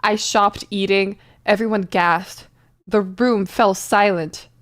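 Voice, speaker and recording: A woman's voice: mid-range voice, fairly even intonation, slow, a bit bright. A woman narrating a comic dramatic reading of fanfiction for a livestream in a giggly, theatrical manner.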